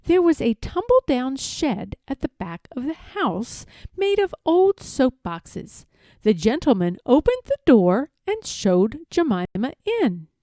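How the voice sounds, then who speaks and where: A woman narrating a children's story from a script, expressive and careful in her phrasing. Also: sits high, takes it slowly, no echo, studio quality. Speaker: storybook reader.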